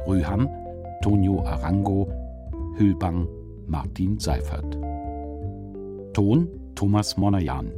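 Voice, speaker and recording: A man reading the scripted closing credits of a radio play as its announcer, with guitar music behind. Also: low-pitched. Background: plucked guitar music.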